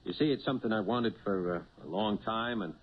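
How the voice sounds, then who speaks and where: An actor playing a humble, earnest cab driver with a halting manner in a 1970s radio drama, on a tinny recording. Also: male voice, lowish voice, natural voice. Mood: earnest, modest.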